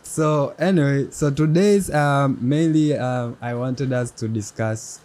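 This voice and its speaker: A young man hosting a casual interview show, speaking haltingly and in a friendly way with many pauses. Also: mid-range voice, darkish tone. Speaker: podcast host. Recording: faint background noise.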